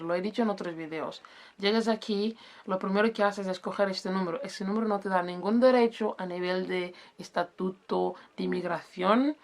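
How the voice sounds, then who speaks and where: A woman whose first language is Portuguese, casually explaining self-employment rules and often stopping to search for words and correct herself. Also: fairly low pitch. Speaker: business advice vlogger.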